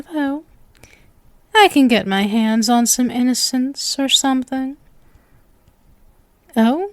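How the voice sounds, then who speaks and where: A woman playing a sweet, obsessive witch in an ASMR roleplay, gentle, coy and doting with playful menace. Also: fairly high pitch, no echo, close to an everyday voice. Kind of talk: ASMR roleplay, scripted acting.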